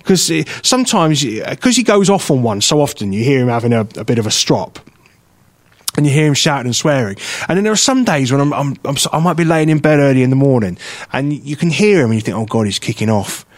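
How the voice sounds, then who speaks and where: A man with a London-ish accent co-hosting a radio show, bantering and teasing with quick laughs. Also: mid-range voice, big pitch swings, no room sound. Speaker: radio co-host. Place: radio studio. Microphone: studio microphone.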